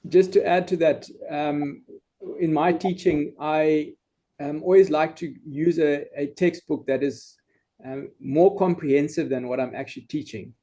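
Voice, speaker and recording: Lecturer guy with a mellow warm voice, calm steady explaining, some "um"s. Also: on the high side. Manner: measured, explanatory, with filler "um". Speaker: university lecturer, panelist. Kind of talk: online panel discussion.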